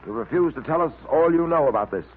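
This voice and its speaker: An actor playing a firm, rational male detective in an old radio drama, brisk and authoritative. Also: fairly high pitch, expressive, breathy edge. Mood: stern, impatient. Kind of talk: 1940s radio drama acting. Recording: tinny, hissy old radio recording.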